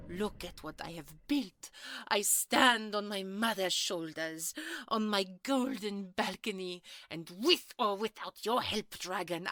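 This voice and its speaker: A woman's voice: mid-range voice, bright, forceful tone, no echo. A woman playing a proud, defiant queen of magical creatures, haughty and theatrical, in a fantasy audio roleplay. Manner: haughty, theatrical, swinging from cold to anguished.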